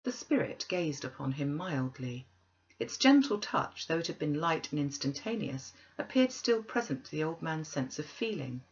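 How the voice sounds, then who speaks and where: A woman narrating a classic tale as a storyteller, with expressive, deliberate, dramatic phrasing. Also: low voice, husky, muffled recording.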